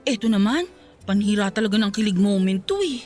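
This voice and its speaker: A woman's voice: mid-range voice, expressive, brisk, darkish tone, faint music behind, close to an everyday voice. An actress playing a smitten, naive young provincial woman in a radio romance drama, girlish and giggly in manner. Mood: giddy, smitten.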